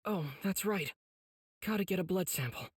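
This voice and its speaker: A man's voice: high voice, animated, normal speed, slightly rough, no room sound, clean studio sound. An actor playing an earnest, kind-hearted teenage boy demon slayer in an anime game, sincere and polite. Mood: curious, gentle. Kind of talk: dramatic anime game voice acting.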